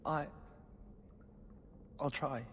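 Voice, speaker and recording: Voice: very slow, a bit bright, in a large hall, a little hiss or hum. A performer playing a frightened, bewildered orphan boy in a fantasy video game. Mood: bewildered, upset.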